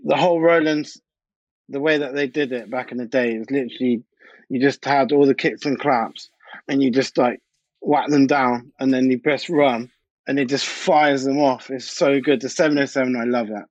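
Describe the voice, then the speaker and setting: A man's voice: medium pitch, steady tone, normal speed, no echo, highs cut off. A British man, a DJ and producer, chatting informally and anecdotally as an interview guest. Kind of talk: casual interview chat.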